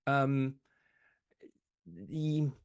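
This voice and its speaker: A man in a conversational political discussion, speaking haltingly and repeating words as he goes. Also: mid-range voice, lively intonation, clear, resonant tone.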